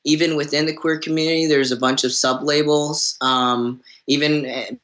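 A man's voice: on the high side, flat delivery, normal speed, a bit bright. A man speaking as a guest on a podcast panel, talking casually and hesitantly, with many fillers and restarts. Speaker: panel guest, suit designer.

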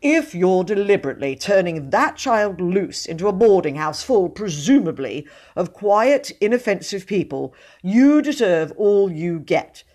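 A fed-up middle-aged father, as a woman reading a storybook puts him on, louder and firmer than her narration. He grumbles his points with emphatic, drawn-out stresses. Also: medium pitch, very animated. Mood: exasperated.

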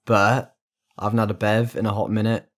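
Laid-back, swearing podcast co-host. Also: male voice, lowish voice, little pitch movement, brisk. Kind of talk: casual podcast banter.

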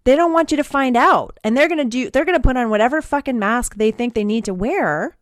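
A woman's voice: fairly high pitch, a little fast, no echo. Candid woman podcast host, dry sound. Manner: candid, casual, swears freely. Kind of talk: casual podcast conversation.

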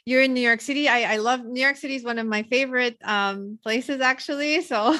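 A woman's voice: fairly high pitch, brisk. A woman hosting a podcast from the Philippines, asking questions in a friendly way with many fillers and restarts. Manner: warm encouraging interviewer with many fillers and restarts. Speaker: podcast host. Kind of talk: casual interview chat.

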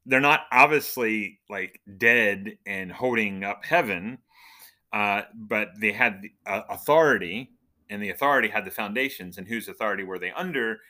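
Middle aged guy doing a casual podcast, bright sharp voice kinda lowish, tells stories w big emphatic buildups. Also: moderate pace. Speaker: podcast host. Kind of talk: casual, chatty podcast talk.

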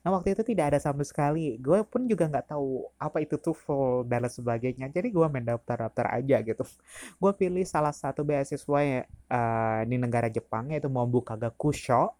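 A young man in his twenties recounts his own story in relaxed Jakarta slang, stopping often for fillers. His voice is warm and mellow, and it is recorded dry and close with no room sound. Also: medium pitch, brisk, no echo. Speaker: university staff. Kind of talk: casual storytelling.

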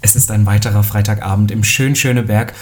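A man's voice: on the low side, steady tone, fast talker. A man co-hosting a casual podcast, rambling and chatty, with easy laughter. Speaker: podcast co-host.

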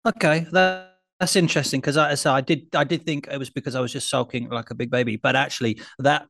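A man chatting casually on a podcast, telling a story with animated enthusiasm. His pitch sits in an ordinary middle range, and he laughs at himself now and then. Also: fast, creaky. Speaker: podcast co-host. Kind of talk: casual chat. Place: traveling abroad, remote call.

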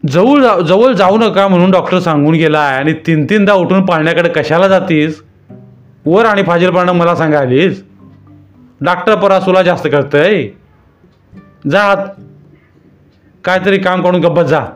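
A man's voice: sits high, lively intonation, measured pace, long pauses, faint music behind, pitched above the performer's own voice. A man voicing a stubborn, harsh village miller who snaps and dismisses others in a raised voice. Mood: harsh dismissive. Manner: gruff, dismissive, snapping.

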